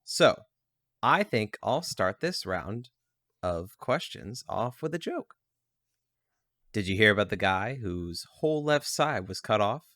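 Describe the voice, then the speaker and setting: A man's voice: on the low side, very animated, takes it slowly, fairly bright tone, no echo, studio quality. A man chatting casually and playfully as a co-host, reading questions aloud. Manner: playful, joking.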